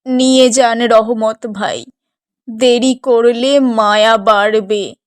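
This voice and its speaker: A woman's voice: on the high side, steady tone, slow pace. A woman playing a weary, hard-pressed village mother in a cartoon drama, with a grave, emotional delivery. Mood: stern, resigned. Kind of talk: Bengali moral-story cartoon voice acting. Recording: music bed behind the voice.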